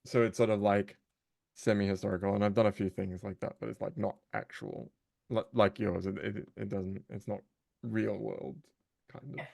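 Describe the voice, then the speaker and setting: A man's voice: lowish voice, normal speed, creaky, a little mellow, dry recording, clean studio sound. A man chatting casually as an interview guest, tentative and searching for his words.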